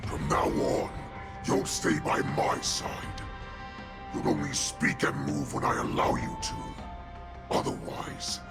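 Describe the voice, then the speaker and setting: An ancient, vengeful beast lord in a dramatic game scene. The voice drags slowly and swings wildly between rumble and snarl, full of contempt, over clear background music. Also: male voice, full character voice. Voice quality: guttural, growling, gravelly. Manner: menacing, slow, imperious, contemptuous. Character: ancient vengeful male beast warlord, cruel and commanding. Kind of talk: dramatic video game villain acting. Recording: loud music behind voice.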